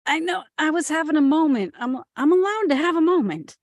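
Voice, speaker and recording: High-pitched lovestruck young boyfriend, read by a woman. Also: lively intonation, fairly quick, darkish tone. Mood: wounded, defensive. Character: lovestruck, earnest young boyfriend, blue-collar detective. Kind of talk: table read of a TV script.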